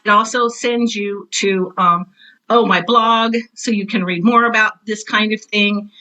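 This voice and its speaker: Bright, chatty American woman. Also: mid-range voice, steady tone, unhurried, low-quality recording. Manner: chatty, enthusiastic, self-deprecating, tells stories with quoted asides. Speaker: podcast guest, teacher and author. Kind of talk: casual interview chat.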